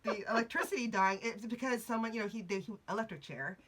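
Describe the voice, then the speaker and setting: A woman's voice: fairly high pitch, quick pace, background noise. Chatty woman in her forties. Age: 40s. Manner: chatty, laughs often, trails off into tangents. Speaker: podcast host. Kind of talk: casual chat. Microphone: podcast mic, video recorded.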